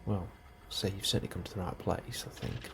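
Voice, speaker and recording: A man doing a soft, breathy ASMR role-play as an unhurried, politely understated officer interviewing a newcomer. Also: low-pitched. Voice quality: soft, breathy, often near whisper. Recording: steady ambient noise behind the voice. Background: computer and ambience sounds.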